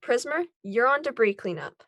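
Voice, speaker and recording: A woman's voice: fairly high pitch, big pitch swings, moderate pace, a bit bright, dull audio. A woman playing a composed, directive superhero team leader at an amateur script table read. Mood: commanding.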